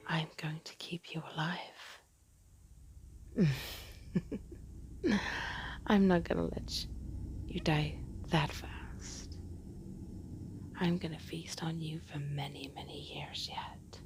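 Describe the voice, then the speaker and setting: Woman playing a slow whispering sadistic demoness. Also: deep voice, fairly even intonation. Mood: hungry, possessive. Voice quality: breathy whisper with creaky edge. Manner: slow, taunting, drawn-out menacing delivery. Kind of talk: whispered dark audio roleplay.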